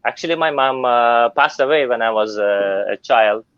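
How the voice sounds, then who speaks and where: A man's voice: medium pitch, normal speed, a bit bright, clear, resonant tone. A middle-aged man from Turkey whose first language is Turkish, speaking English with many fillers and restarts as a guest in an online interview. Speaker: guest business owner. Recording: dull lo-fi sound.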